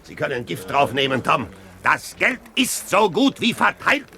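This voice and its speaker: Sharp, high-pitched, sneering pirate leader. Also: male voice, sing-song, unhurried, creaky, husky, heavily acted. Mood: scornful, menacing. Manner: forceful, sneering. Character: fierce, scornful male pirate leader. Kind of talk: German radio play acting.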